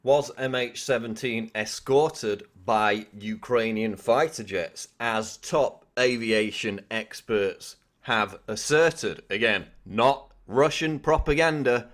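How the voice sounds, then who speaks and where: A man's voice: medium pitch, slow, hardly any pauses, fairly bright tone. A man delivering political news commentary in an insistent, questioning manner. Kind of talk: scripted news commentary to camera.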